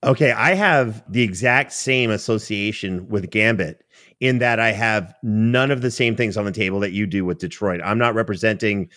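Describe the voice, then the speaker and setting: A man's voice: on the low side, moderate pace, fairly bright tone, no echo, clean studio sound. A man hosting a show in a chatty, teasing, conversational way. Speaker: podcast host.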